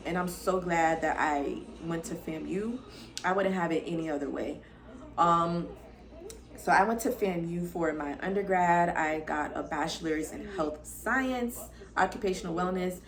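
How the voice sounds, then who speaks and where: Chatty young woman from Florida, low voice. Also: unhurried, soft background music. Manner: chatty, upbeat, addresses viewers as y'all and girl. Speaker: lifestyle vlogger. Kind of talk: casual to-camera introduction.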